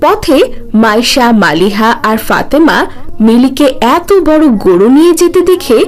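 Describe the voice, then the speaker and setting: A woman narrates a children's cartoon story from a script. Her high voice swings in lively intonation, with faint music underneath. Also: a little slow. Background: faint background music.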